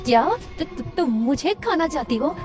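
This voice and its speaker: A greedy, jittery village merchant from a children's story, played by a woman. The voice is fairly high and very animated, with a hesitant, nervous manner. Music runs underneath. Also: normal speed. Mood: frightened. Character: greedy village merchant man, eager, then frightened.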